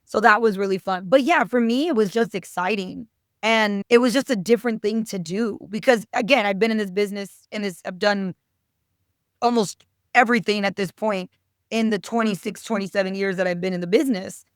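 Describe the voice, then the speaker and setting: A woman's voice: mid-range voice, a little fast, dry recording, clean studio sound. A woman in her thirties chatting casually as an actor guest in an interview, informal and talking in short chatty bursts.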